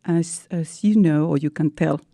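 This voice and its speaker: Peruvian-accented woman, low gravelly voice. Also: normal speed, mellow, no room sound, studio quality. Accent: Peruvian Spanish. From Peru. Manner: earnest storytelling with non-native English phrasing. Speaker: guest. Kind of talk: radio interview.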